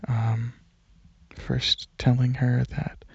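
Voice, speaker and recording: A young man speaks very breathily and softly in a casual ASMR ramble, hesitating and drifting into whispers. His delivery is slow and fairly flat in pitch. He is close to the microphone, with a slightly dull recording. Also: no room sound. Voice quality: breathy, soft. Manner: hesitant, halting, slipping into whispers. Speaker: ASMR video creator and channel host. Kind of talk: soft-spoken ASMR ramble, casual personal chat.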